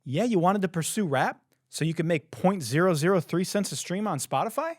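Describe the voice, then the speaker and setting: A young man hosting a podcast, ranting in disbelief with lots of swearing and laughter, a little hoarse. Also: high-pitched, animated, fairly quick, darkish tone, no echo, clean studio sound. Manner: profane, laughing, incredulous ranting. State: hoarse, says he has lost his voice. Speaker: podcast host. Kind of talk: casual comedic commentary.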